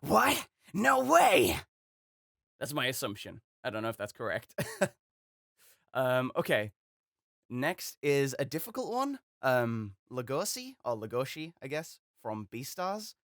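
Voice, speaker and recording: A man's voice: medium pitch, very animated, a little slow, no room sound, clean studio sound. A young man chatting casually as host of a voice acting video, rambling and self-deprecating. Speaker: voice acting YouTuber. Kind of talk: casual chat.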